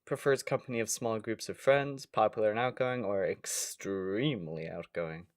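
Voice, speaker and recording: A man's voice: fairly low pitch, normal speed, dry recording, clean studio sound. A young man co-hosting a podcast in casual comedic chat, jokey and self-deprecating, sometimes reading questions aloud. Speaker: podcast co-host.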